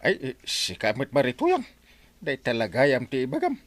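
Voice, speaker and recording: Flustered Ilocano village captain, wildly swooping voice. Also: male voice, mid-range voice, huge pitch swings, normal speed, background noise. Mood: agitated. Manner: stammering, blustering, exclamatory. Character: flustered, excitable village captain, comic husband. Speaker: village captain in a comedy radio drama. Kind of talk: broad comedy radio drama acting.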